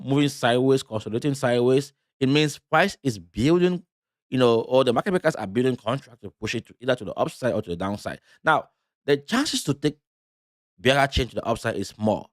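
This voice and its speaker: A man from Cameroon talks through market charts on a live stream in a loose, halting way, full of fillers and self-corrections. His voice sits at an ordinary middle pitch. The recording is dry and close, with almost no room sound. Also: lively intonation, no echo. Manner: loose, halting delivery with many fillers and restarts. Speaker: crypto trading streamer. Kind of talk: live trading commentary.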